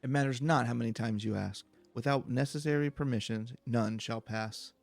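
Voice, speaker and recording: A man's voice: mid-range voice, normal speed, vocal fry, faint music behind, lightly acted. A man voicing a stern, unyielding male guard in a fantasy game, curt, formal and commanding.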